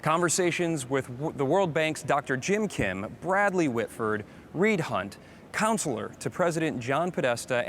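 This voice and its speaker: A man's voice: medium pitch, fairly bright tone, a little hiss or hum. A man hosting a live broadcast, presenting from a script in an upbeat way and talking straight to the viewers. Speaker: host of a live climate broadcast.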